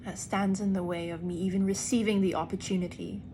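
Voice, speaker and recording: A woman actor speaking in an earnest, deliberate personal monologue with emphatic pauses. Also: medium pitch, level tone, mellow, slight background noise. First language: English. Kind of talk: reflective personal vlog monologue.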